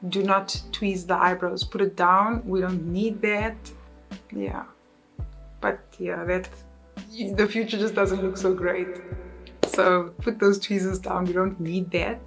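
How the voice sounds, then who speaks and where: A woman's voice: mid-range voice, gravelly. A woman in her thirties from South Africa with a South African accent, chatting casually to camera in an upbeat, self-correcting way. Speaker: YouTuber. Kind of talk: casual vlog chat.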